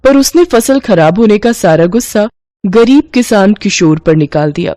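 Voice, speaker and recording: A woman narrates a scripted moral tale for bedtime listening. Her voice sits at a medium pitch, in the role of storyteller. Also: lively intonation, moderate pace, mellow, no echo, clean studio sound.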